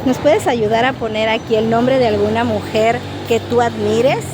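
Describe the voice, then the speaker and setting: A woman's voice: a little high, fairly even intonation, normal speed. Encouraging Mexican woman interviewing on a noisy street. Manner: encouraging, affirming. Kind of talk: casual vox-pop interviewing. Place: outdoors on a town street. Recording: noisy outdoor street, traffic behind.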